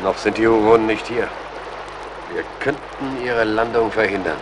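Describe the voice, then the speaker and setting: Young army major played by a man, fairly low voice, gallant, earnest, urgent; radio drama, faint battle noise. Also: normal speed, natural voice. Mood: tense, resolute. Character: young male army major, anxious but gallant officer. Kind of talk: German radio play acting.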